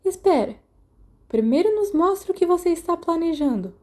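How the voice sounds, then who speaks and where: Woman doing an excitable high-pitched schoolboy troublemaker. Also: normal speed. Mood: impatient. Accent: Brazilian. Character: bold, impatient eleven-year-old schoolboy troublemaker.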